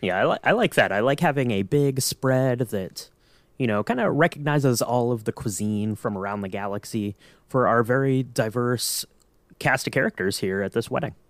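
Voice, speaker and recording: A man's voice: mid-range voice, animated, normal speed, no echo. A man co-hosting a podcast, chatting casually and playfully in mock-serious fan banter. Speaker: podcast co-host.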